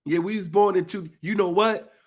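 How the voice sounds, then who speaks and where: A man's voice: high voice, steady tone, normal speed. A man on a live stream, stuffy and congested, preaching emphatically in informal speech. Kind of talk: impassioned live monologue.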